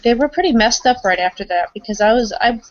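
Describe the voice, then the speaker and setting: Woman, higher pitch, casual roleplay chat, muffled lo-fi sound. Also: lively intonation, fast talker. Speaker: tabletop roleplay player. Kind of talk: casual interjecting game chat. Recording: muffled, dull lo-fi audio.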